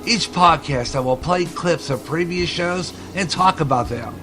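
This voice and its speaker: A man's voice: a little high, unhurried, over music. A man, a radio and podcast host, reading a scripted promotional announcement.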